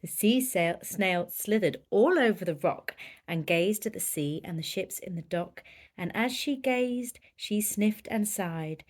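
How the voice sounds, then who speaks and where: A woman's voice: mid-range voice, lively intonation, a little slow. A woman reading a children's picture book aloud with dramatic, emphatic storytelling. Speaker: volunteer story reader.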